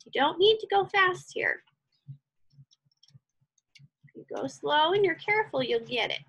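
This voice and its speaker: A woman's voice: very high-pitched, animated, measured pace, a bit bright. A woman giving a casual step-by-step craft tutorial, chatty and encouraging, thinking aloud as she works. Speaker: craft instructor.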